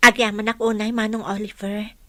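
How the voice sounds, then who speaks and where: An actress playing a grateful, gentle young single mother in a radio drama, speaking earnestly. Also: medium pitch, flat delivery, a little fast, dry recording, lightly acted. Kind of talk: Ilocano radio drama acting.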